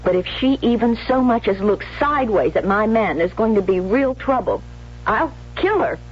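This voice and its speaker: A woman's voice: on the high side, expressive, moderate pace, noisy background, lightly acted. Intense, jealous young American woman played by an actress, muffled radio. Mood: angry, accusing. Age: young adult. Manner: intense, emphatic, theatrical. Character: jealous, resentful young bride-to-be, emotional younger sister. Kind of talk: 1970s radio drama acting, dialogue and confessional narration. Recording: muffled old radio broadcast, faint music.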